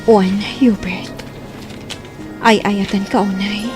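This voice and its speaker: A woman's voice: medium pitch, level tone, slow, close to an everyday voice. A woman playing a sweet, timid young housemaid in love in a radio drama. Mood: dreamy, loving. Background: music.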